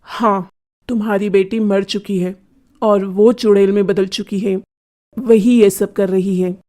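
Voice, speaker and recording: A woman's voice: medium pitch, steady tone, a little slow, warm tone, voice pushed down, natural voice. A woman voicing a wise, solemn male priest in a children's cartoon story, grave and consoling.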